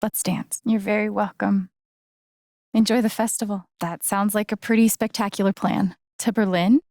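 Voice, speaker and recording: A woman playing a sincere, gently wry young game heroine, with an American accent and an understated, conversational delivery. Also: medium pitch, steady tone, moderate pace, dry recording, clean studio sound, lightly acted. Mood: playful. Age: young adult. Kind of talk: naturalistic video game voice acting.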